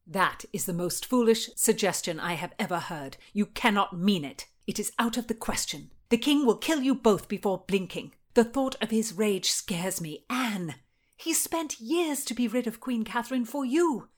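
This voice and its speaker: A woman's voice: mid-range voice, lively intonation, moderate pace, voice pushed up, lightly acted. A woman voicing a stern, outraged Tudor lady and loyal friend in a period audiobook, emphatic and scolding. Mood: alarmed, scolding.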